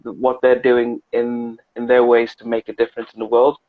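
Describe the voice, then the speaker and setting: A man hosting an interview chat, talking in a halting way with many restarts. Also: mid-range voice, completely flat delivery, narrow, tinny audio. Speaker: interview host.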